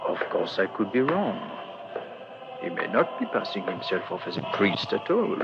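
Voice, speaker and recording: Radio play inspector: middle-aged, astute and courteous, lowish voice, formal and wryly reflective, on a dull recording with faint noise. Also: male voice, sing-song, gravelly, over loud music. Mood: musing, wry. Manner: measured, formal, ruminative. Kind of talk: radio drama acting.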